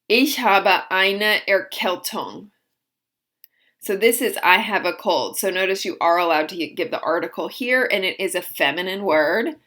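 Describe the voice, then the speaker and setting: A woman teaching a language lesson, slowly modeling each phrase and then explaining it. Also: fairly low pitch, a bit bright. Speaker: German teacher. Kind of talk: recorded vocabulary lesson.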